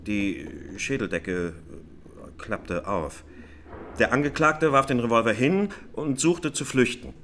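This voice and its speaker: An actor playing a plain-spoken, agitated eyewitness, vividly retelling events as courtroom testimony in a radio drama. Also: male voice, mid-range voice, expressive, slow, fairly bright tone, slight background noise. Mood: matter-of-fact, vivid. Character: male eyewitness, plain-spoken, agitated, recounting a street arrest.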